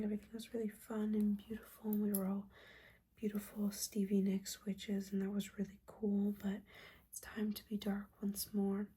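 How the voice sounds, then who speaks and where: A woman playing a gently judging, caring witch mentor in an ASMR roleplay, whispering soothingly and conversationally. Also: mid-range voice, slight background noise, close to an everyday voice. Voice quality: breathy whisper.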